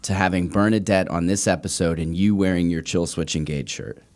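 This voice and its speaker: A man with a fairly low voice talks as a podcast host. His delivery is casual and conversational, with informal, joking banter. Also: fairly even intonation, brisk, darkish tone.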